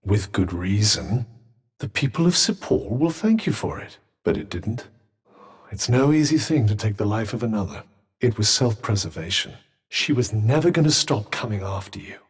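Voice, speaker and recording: Haughty, husky British magical cuff. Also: male voice, lowish voice, sing-song, moderate pace. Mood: grave, measured. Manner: wry, articulate, pompous. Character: sardonic, haughty, erudite talking magical cuff. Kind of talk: video game character dialogue.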